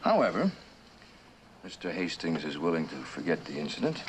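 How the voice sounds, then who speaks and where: An actor playing a controlling businessman, a tycoon's henchman, polite and quietly commanding in a mid-century suspense drama. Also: low voice, very animated, normal speed, background noise. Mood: coolly threatening.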